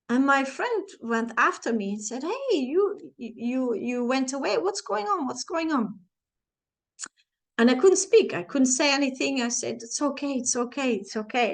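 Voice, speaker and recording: A woman in her forties, a native Flemish speaker with a Flemish accent, telling a personal story to camera with halting, searching phrasing and playful sound effects. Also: on the high side. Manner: halting, searching for words, many restarts and fillers. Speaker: channel host, life coach.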